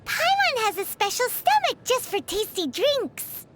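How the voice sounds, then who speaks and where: A woman voicing a tiny, perky, childlike fairy companion in a video game, with a squeaky voice. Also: very animated, unhurried, a bit bright, slight background noise, full character voice. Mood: playful. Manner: perky, refers to self in third person. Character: tiny childlike female floating fairy companion, chirpy and food-loving. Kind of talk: scripted video game dialogue.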